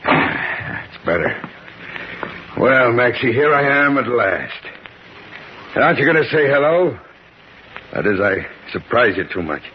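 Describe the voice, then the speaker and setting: An actor playing a hard, vengeful gangster with a tough, menacing, slangy delivery in a 1950s radio drama. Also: male voice, medium pitch, big pitch swings, unhurried, long pauses. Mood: impatient, bossy. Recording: dull, faintly noisy old radio recording.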